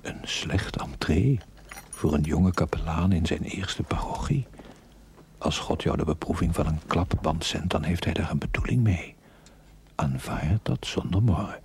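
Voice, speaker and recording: A male actor playing a stern, pious inner conscience in a TV drama, speaking in a solemn, preachy, breathy whisper. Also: very deep voice, a little slow, a little mellow. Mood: solemn reproach. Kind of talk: television drama acting.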